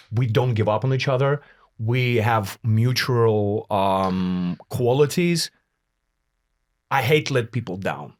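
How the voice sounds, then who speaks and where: A man who is a non-native English speaker, hosting a podcast with emphatic, dramatic, profane storytelling. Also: mid-range voice. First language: not English. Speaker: podcast host. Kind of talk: casual, profane podcast chat.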